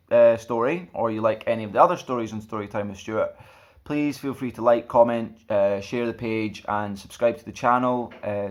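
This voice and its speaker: A man reading a children's picture book aloud as a storyteller, in an expressive, theatrical way. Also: fairly low pitch. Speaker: storyteller and channel host.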